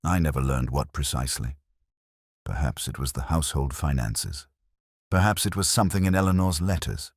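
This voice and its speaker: A man voicing a weary, guilt-ridden elderly English magistrate in a story, confessing in halting, formal phrases. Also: rumbling low, slightly rough, dry recording, studio quality, heavily acted. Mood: bitter, subdued.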